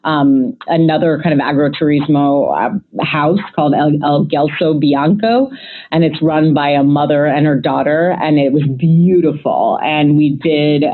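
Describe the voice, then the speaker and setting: A woman from the United States with a low voice. She tells stories in a loud, chatty way, with many fillers and restarts. The sound is thin and phone-like. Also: a little slow. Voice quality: self-described loud voice. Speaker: guest describing a family trip. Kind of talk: casual conversational storytelling. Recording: tinny phone-line audio.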